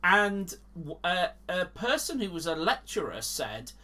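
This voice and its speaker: An earnest man with an English accent, a longtime Bible teacher, talking with halting restarts. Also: high voice, very animated, pushed and bright. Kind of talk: casual Bible teaching chat.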